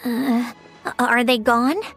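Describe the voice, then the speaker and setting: A woman's voice: a little high, level tone, takes it slowly, a bit bright, faint music behind. A woman voicing a clever, composed, slightly awkward young woman in a video game, polite and earnest. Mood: earnest, hesitant. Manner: polite, earnest, hesitant at times.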